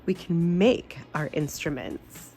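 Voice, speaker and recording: A woman voicing a bubbly, excitable young storybook girl with exclamatory, drawn-out emphasis. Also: lowish voice, vocal fry, faint music behind, full character voice. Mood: earnest.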